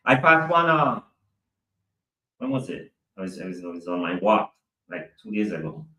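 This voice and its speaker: Low-voiced animated man, roomy echo. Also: moderate pace. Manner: animated, emphatic, swears casually. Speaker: podcast guest, cybersecurity specialist. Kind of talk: casual chat. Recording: echoey room, mic at a distance.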